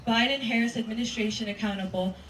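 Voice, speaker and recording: A woman's voice: fairly high pitch, fairly even intonation, fast, fairly bright tone. A nineteen-year-old woman with an American accent giving a prepared statement at a press conference. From United States. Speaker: voter speaking at press conference. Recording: echoey room, distant mic, faint noise.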